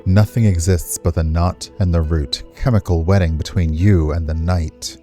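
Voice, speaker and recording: A man's voice: low-pitched, fairly even intonation, moderate pace, warm tone, soft background music. A man reading visual novel text aloud expressively as a game streamer, slipping into casual commentary.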